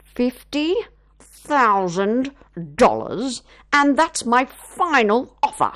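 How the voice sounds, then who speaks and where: A woman voicing a haughty, pushy rich lady in a children's storybook, with a grand, drawn-out, imperious delivery. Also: on the high side, sing-song, a bit bright, exaggerated voice. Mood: insistent.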